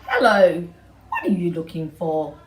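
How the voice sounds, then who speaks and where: A woman's voice: lowish voice, measured pace, close to an everyday voice. Woman playing friendly storybook dog, emphatic ruffs, echoey room. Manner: barking, emphatic. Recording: distant mic, roomy echo, background noise.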